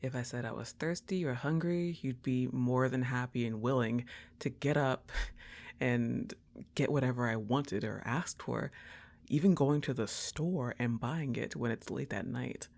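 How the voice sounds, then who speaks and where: A woman playing a laid-back, teasing young goth roommate in an affectionate ASMR roleplay, soft-spoken and often slipping into whispers. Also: very deep voice, measured pace, creaky, heavily acted.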